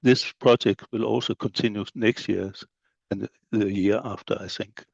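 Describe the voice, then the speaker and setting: A middle-aged man with a Danish accent and Danish as his first language, giving a measured conference presentation as a school head of studies, laying out points one by one with occasional fillers. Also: fairly low pitch, fairly even intonation, gravelly, breathy edge, no echo, muffled recording. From Denmark. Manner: measured, list-like delivery with fillers.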